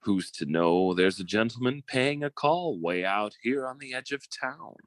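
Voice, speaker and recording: A theatrical con-man suitor played by a man, recorded close and dry. His pitch swoops widely as he schemes and bullies, and he is a coward underneath, prone to stammering when flustered, in old-time radio style. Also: lively intonation, a little slow, no room sound. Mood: menacing scorn.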